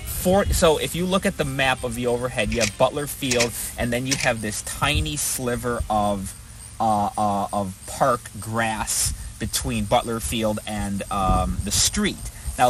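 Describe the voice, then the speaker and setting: A man talks casually and without a script, stopping and restarting as he looks for words. His pitch is average, and music plays clearly behind him. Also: a bit bright. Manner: halting, repeats words while searching. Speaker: storyteller recounting a protest. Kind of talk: casual unscripted recollection.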